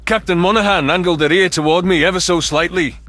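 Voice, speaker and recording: A man's voice: high-pitched, quick pace, fairly bright tone. A man reading scripted first-person science fiction narration as an audiobook narrator.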